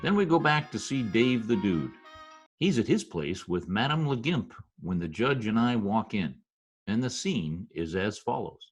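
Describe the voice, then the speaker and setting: An actor playing a wry, deadpan Broadway go-between who also narrates, in old-time radio comedy style. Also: male voice, on the low side, a little slow, husky, faint music behind, full character voice. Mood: deadpan narrating.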